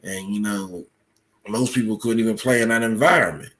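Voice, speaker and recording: Male podcast guest, lowish pitch, measured and analytical, explanatory conversational delivery. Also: fairly bright tone. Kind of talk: explanatory interview talk.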